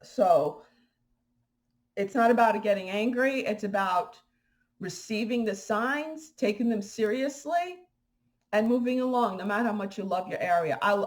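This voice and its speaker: A woman from Haiti talks straight to her viewers, animated and indignant. Her voice sits at a middle pitch, and her casual delivery is full of fillers and restarts. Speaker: spiritual vlogger. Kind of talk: casual spoken commentary to viewers.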